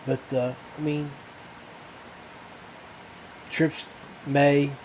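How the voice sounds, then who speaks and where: An American man with a slightly high voice hosts a casual solo podcast. He rambles and halts, searching for words. There is some background noise around him. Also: flat delivery, extremely slow, darkish tone, low-quality recording. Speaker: podcast host.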